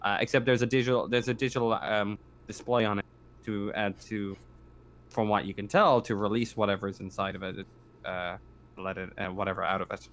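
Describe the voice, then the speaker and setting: A man working as game master, narrating a sci-fi tabletop roleplaying game in a halting, improvised way. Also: on the low side, fairly even intonation, fairly bright tone.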